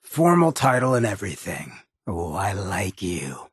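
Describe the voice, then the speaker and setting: An actor playing a swaggering, sardonic ghoul gangster companion in a video game, with a gravelly rasp and a wry, streetwise drawl. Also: male voice, low voice, very animated, measured pace, dry recording, clean studio sound, heavily acted. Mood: wry, casual. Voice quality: gravelly, raspy.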